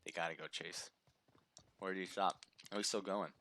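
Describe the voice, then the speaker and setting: A man giving chatty play-by-play commentary on a live mobile game match, his voice slightly hoarse from a sore throat. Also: low voice, steady tone, moderate pace, background noise. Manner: chatty, upbeat, reads viewer names aloud.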